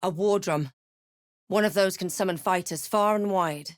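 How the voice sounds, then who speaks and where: A woman voicing a wary, composed adventurer heroine for a fantasy video game, with measured, dramatic delivery. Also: medium pitch, no room sound, studio quality, natural voice. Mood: grave, composed.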